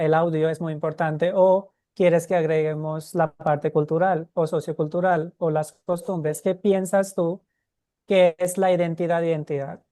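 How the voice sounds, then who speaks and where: A woman's voice: on the low side, dark tone, no echo. A woman from India, a Spanish teacher, talking in a conversational, hesitant way and often restarting her words. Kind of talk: conversational questioning.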